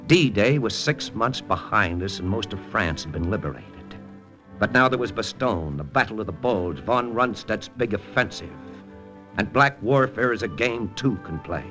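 Deep-voiced hardboiled American lieutenant. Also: male voice, animated, sharp tone. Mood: wry, explanatory. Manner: hardboiled, deliberate dramatic storytelling. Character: cool, persuasive American lieutenant, wartime intelligence agent. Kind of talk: 1940s radio drama narration and dialogue. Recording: old radio broadcast with music.

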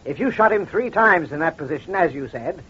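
An actor playing a shrewd, self-assured crime doctor in an old radio detective drama, speaking in a deliberate, accusatory way. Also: male voice, fairly high pitch, lively intonation, fairly bright tone, husky, full character voice. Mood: methodical, confident. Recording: dull, muffled old radio recording.

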